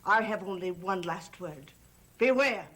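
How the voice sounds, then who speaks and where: An actress playing a stern, suspicious housekeeper, formal and clipped, in a gothic soap opera. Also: female voice, on the low side, lively intonation, a little slow, very noisy. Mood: cold disapproval.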